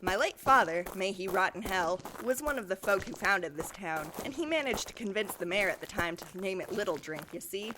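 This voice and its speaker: A woman's voice: mid-range voice, animated, brisk, hardly any pauses, noisy background, lightly acted. A woman playing a brash, jokey wasteland townswoman, folksy and teasing, in an audio drama. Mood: amused.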